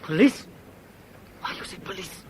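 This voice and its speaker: An actor playing an agitated, sceptical fugitive, blunt and slangy, in a 1970s radio thriller. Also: male voice, measured pace, lightly acted. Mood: alarmed, disbelieving. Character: agitated, sceptical male fugitive, cocky and exasperated. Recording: noisy old radio drama recording.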